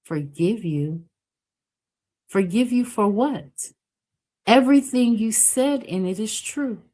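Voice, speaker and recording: A shy, earnest young village girl from a storybook speaks up in a lifted, higher voice than the telling around her. A woman reads her part aloud. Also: animated, slow, mellow.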